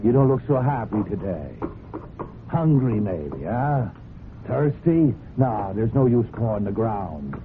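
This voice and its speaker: A man playing a surly, drunken horse trainer in a 1970s radio drama, with rough, folksy, grumbling speech. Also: lowish voice, big pitch swings, takes it slowly, heavily acted. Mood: bitter, taunting. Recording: narrow-band old radio, loud noise.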